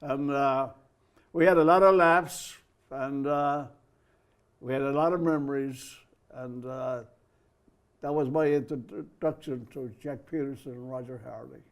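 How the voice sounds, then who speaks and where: An older man with an Irish accent, a guest in a TV studio telling lively anecdotes and acting out the voices of people he quotes. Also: mid-range voice, unhurried. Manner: lively storyteller who acts out quoted voices. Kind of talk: anecdotal storytelling.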